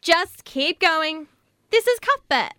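A woman's voice: extremely high pitch, animated, takes it slowly, heavy background noise, heavily acted. A woman playing a flustered, oblivious woman late to a funeral in a comedy radio drama.

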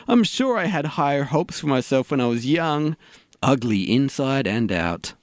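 Animated, self-mocking middle-aged man played by an actor. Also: mid-range voice, measured pace, no echo, studio quality. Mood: wry confession. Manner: wry, self-deprecating. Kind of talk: audio sitcom dialogue.

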